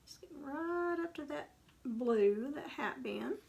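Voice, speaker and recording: A woman with a Texas accent and a somewhat high, gravelly voice. She talks through a live painting tutorial in a casual, rambling way, drifting into homey asides from her craft workspace. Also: lively intonation, slow, darkish tone. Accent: Texan.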